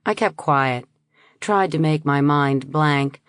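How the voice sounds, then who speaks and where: Low-voiced woman narrator, dry close sound, lively reading of first-person fiction. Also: takes it slowly, clear, resonant tone, no echo. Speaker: audiobook narrator.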